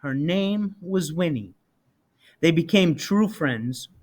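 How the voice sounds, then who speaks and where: Slow, deliberate man reading a picture book. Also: a little high, animated, a little mellow. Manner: deliberate, dramatic emphasis on key words. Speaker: storybook reader. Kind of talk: expressive children's picture book read-aloud.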